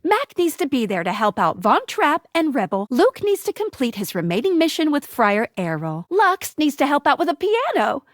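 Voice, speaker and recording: A woman's voice: sits high, big pitch swings, moderate pace, hardly any pauses, no echo, studio quality. A woman voicing a peppy, helpful young female guide in a dating video game, with a bubbly, theatrical, sing-song delivery. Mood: chirpy, helpful. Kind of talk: scripted game hint dialogue.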